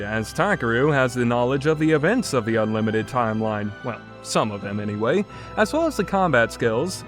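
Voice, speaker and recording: A man's voice: a little high, fairly bright tone. A man narrating a scripted review as a critic, blunt, exasperated and opinionated in manner. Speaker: game reviewer.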